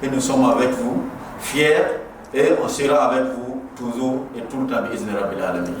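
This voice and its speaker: A man's voice: medium pitch, normal speed, slight background noise. Man, middle-aged, impassioned ceremonial speaker, repeats phrases for emphasis, very echoey hall. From Burkina Faso. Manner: impassioned, preaching with rhetorical repetition. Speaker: guest speaker at a mosque inauguration. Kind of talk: exhortative ceremonial speech. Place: large echoing prayer hall. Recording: very echoey hall, voice far from the mic.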